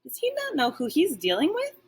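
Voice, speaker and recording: Woman voicing an excitable, cocky storybook dog, very animated. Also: high voice, a little fast, a little hiss or hum, voice pushed up. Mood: puzzled, indignant. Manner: exclamatory, dramatic, boastful. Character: excitable, cocky, playful pet dog narrating his adventures. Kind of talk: children's storybook read-aloud.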